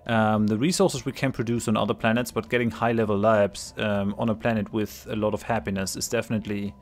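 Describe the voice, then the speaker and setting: A man's voice: lowish voice, little pitch movement, fairly quick, soft background music. A man with a cold narrating his strategy game as a let's play host, thinking aloud with many ums and restarts and laughing readily. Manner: laughs readily between remarks. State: has a cold. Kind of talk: casual gameplay commentary.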